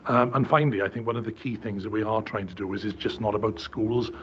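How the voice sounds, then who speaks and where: A man, a council education director, briskly setting out targets at a formal committee meeting. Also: on the low side, steady tone, fairly bright tone. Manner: brisk, earnest, listing targets. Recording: dull lo-fi meeting audio with faint room noise.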